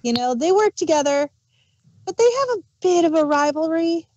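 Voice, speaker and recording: Dark-voiced woman, casual chat. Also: very high-pitched, expressive, moderate pace, dry recording, dull audio. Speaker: podcast co-host reviewing audio dramas.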